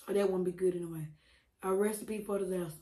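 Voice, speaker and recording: A woman chatting casually while eating on camera, with preachy asides, frequent laughs and a slightly hoarse voice, recorded on a close mic at home. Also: lowish voice, fairly even intonation, quick pace, dark tone, echoey room. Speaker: mukbang creator. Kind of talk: casual eating-show chat.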